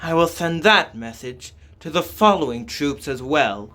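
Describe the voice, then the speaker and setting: A man with a fairly high voice reads a fantasy game script aloud. His delivery is very slow and deliberate, halting between phrases as he narrates. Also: animated, bright, forceful tone. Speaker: fan voice-over reader.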